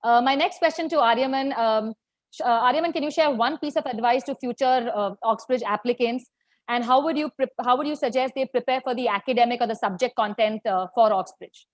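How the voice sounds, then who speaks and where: Woman webinar host, chatty, high-ish voice, dry video-call sound. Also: a little fast, dry recording. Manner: chatty, filler-heavy questions. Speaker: webinar host, admissions consultant. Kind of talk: moderating a webinar panel.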